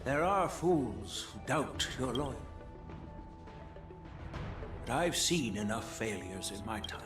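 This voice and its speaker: A man's voice: medium pitch, expressive, slow. An actor playing a proud, formal old male elder in video game story dialogue. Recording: game music behind, roomy sound.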